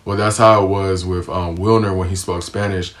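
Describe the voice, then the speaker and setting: A young man from the United States talks through a casual storytime for his vlog. His voice sits low, and his delivery stays flat and even. He is laid-back and slangy, with plenty of ums along the way. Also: moderate pace. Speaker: vlogger.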